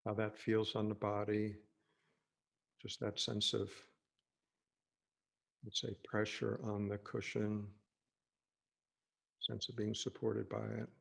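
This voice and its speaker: Low, gravelly man guiding meditation. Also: completely flat delivery. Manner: gentle, halting, reassuring delivery with pauses. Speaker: meditation teacher. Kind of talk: guided meditation, unscripted spoken instruction.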